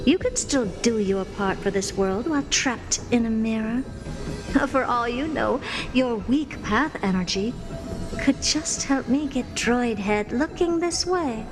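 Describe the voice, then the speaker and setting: A woman's voice: on the high side, animated, measured pace. A woman voicing a haughty, smug genius scholar in a video game, speaking in a pompous, mocking, theatrical manner. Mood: mocking, gloating. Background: music.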